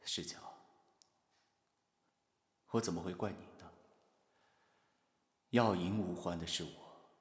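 A man playing a gentle, resigned, melancholy gentleman of ancient China in a period audio drama, speaking in a subdued, brooding way. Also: low-pitched, takes it slowly, pauses often, husky, exaggerated voice. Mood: sad, resigned.

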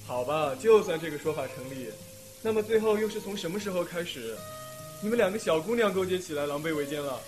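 A man's voice: high voice, animated, fast. A man acting a caring but exasperated teenage schoolboy and older brother in an audio drama. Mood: dry. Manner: dry, long-suffering. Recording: music playing behind.

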